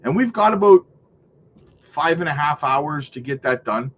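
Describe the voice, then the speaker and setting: Stuffy guy on a lo-fi live stream, kinda high voice, hyped chart talk, faint hiss behind. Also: expressive, measured pace, a bit bright. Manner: upbeat, repeats "right?" and "man", reads out viewer comments. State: stuffy from a cold. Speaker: crypto livestream host. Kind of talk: live chart analysis and chat shout-outs.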